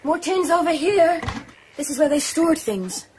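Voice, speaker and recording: Feisty young island woman, highish voice, blunt, curious, outspoken, radio drama, faint ambience. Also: animated, a little slow, darkish tone, a little hiss or hum. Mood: observant. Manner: direct, challenging, outspoken.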